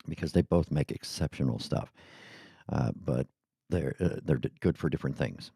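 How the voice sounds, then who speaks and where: A middle-aged man with an extremely deep, gravelly voice talks through a casual, rambling podcast monologue and keeps drifting off topic. His tone is dark and mellow. The sound is dry and close, recorded on a studio mic in a small home studio with sound panels. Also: normal speed, no room sound. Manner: rambling, chatty, goes off on tangents. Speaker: podcast host. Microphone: studio microphone.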